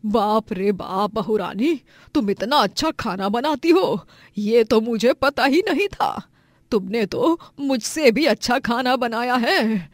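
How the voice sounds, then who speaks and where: A woman's voice: fairly high pitch, animated, slow pace, dry recording, faint music behind. A woman voicing an older, bossy mother-in-law in a family story, emphatic and full of exclamations. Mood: impressed.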